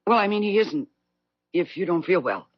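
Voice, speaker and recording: An actress playing an anxious, impulsive woman with a husky voice and an agitated, questioning manner in a 1970s radio drama. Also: on the low side, expressive, moderate pace, no echo. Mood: flustered. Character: anxious, impulsive woman, regretful and wanting a new life. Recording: muffled vintage radio broadcast.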